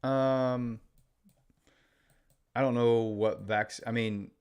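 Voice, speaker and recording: A man with a mostly Midwestern American accent and a slight Texan touch, reading viewer chat aloud and answering it in a relaxed live-stream way. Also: sits low. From Illinois, later Texas. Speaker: day trader streaming live.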